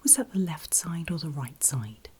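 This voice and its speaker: Woman whispering softly, close to the mic. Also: deep voice, very animated, normal speed. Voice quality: breathy unvoiced whisper. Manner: gentle, reassuring, checking in often. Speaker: ASMR performer playing an ear examiner. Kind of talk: whispered ASMR medical roleplay. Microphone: close ASMR microphone.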